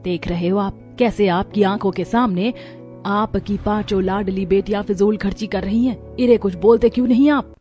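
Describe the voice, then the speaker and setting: A woman's voice: mid-range voice, fairly even intonation, moderate pace, warm tone, lightly acted. Earnest, nagging mother voiced by a woman, music behind. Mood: worried, exasperated. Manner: admonishing, earnest. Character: worried, scolding middle-aged mother of five daughters. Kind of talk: Hindi moral story voice acting.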